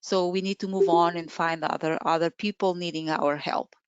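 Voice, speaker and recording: A woman's voice: on the low side, fairly even intonation, normal speed, smooth, dry recording. A woman in her forties from Portugal, a native Portuguese speaker, presenting an online webinar from a room at home, talking with many fillers and restarts. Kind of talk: webinar talk. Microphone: video call. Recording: dull lo-fi video call audio.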